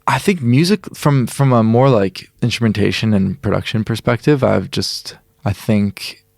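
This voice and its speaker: A man's voice: lowish voice, moderate pace, gravelly, darkish tone, no room sound, studio quality. A young man from Illinois, a musician being interviewed, talking casually and earnestly with lots of "like" and restarts. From Illinois, USA. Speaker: musician guest.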